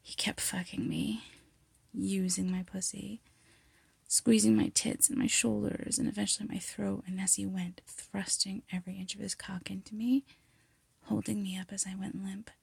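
Female, low-pitched and very gravelly, for intimate seductive roleplay narration; teasing, half-whispered delivery, close and dry. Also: little pitch movement, normal speed, a little mellow, dry recording. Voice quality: breathy, husky, gravelly. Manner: sultry, teasing, often dropping into whispers. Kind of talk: intimate erotic audio roleplay monologue. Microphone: close mic, dry sound.

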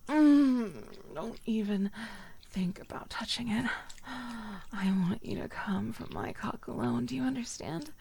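A woman performing a dominant, teasing audio roleplay, speaking in soft whispers broken by low murmurs. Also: measured pace, creaky.

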